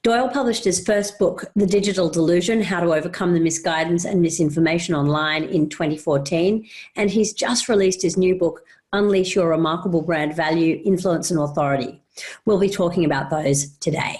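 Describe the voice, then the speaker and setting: Lower-pitched woman hosting a podcast. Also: a little fast, darkish tone. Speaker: podcast host. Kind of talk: scripted introduction and interview questions.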